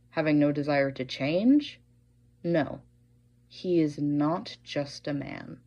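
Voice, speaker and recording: Deep, mellow-voiced woman making an argument. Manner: deliberate, rhetorical. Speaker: listener sending in a voice message. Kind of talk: prepared argumentative monologue.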